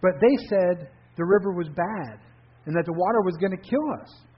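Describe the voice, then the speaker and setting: A man's voice: normal speed, husky. A man voicing a curious, earnest young river dweller who pleads in a raised voice. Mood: puzzled. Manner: eager, pleading, raises pitch high. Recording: dull lo-fi recording.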